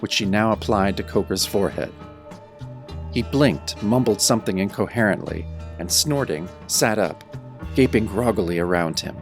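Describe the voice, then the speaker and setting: A man's voice: lowish voice, normal speed, music in the background, lightly acted. A man playing a brooding young werewolf who narrates his own story in a wry, dramatic radio-drama style. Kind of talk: first-person audio drama narration, old radio show style.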